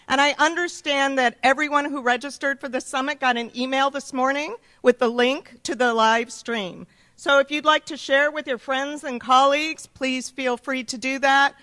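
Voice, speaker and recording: A woman giving a formal welcome speech as head of the organizing group, with a loud, upbeat voice, reading thanks and introductions at a podium in a conference room. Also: high-pitched. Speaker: CEO opening a summit.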